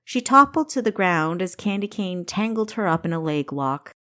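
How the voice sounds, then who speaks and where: A woman with a slightly low voice narrates an action-driven audiobook. She reads in a dramatic, deliberate manner, enunciating each phrase with care. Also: animated, fairly bright tone. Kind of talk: scripted narration of an action story.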